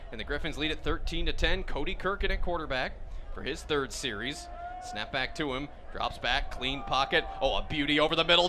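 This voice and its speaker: An American man doing live play-by-play commentary of a football game as a radio announcer. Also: fairly high pitch. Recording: loud outdoor stadium noise behind voice.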